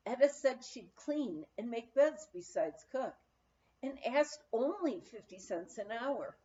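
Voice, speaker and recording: A woman reads a memoir aloud at an unhurried pace, her fairly high voice rising and falling expressively. She leaves deliberate pauses as she tells the story. The recording is slightly dull and lo-fi. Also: animated, dark tone. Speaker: audiobook reader. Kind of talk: scripted narration of a memoir, read aloud.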